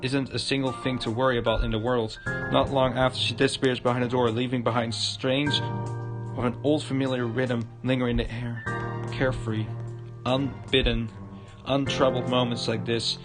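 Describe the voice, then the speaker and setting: A man narrates a visual novel on a livestream in a fairly flat voice. He reads haltingly, tripping over and repeating words, with loud game music playing behind him. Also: mid-range voice. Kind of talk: live narration of game text.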